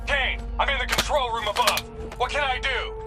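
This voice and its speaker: An actor playing a wry, dutiful soldier in a video game. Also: male voice, extremely high pitch, normal speed, over loud music. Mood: alert, wry. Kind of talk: dramatic video game acting.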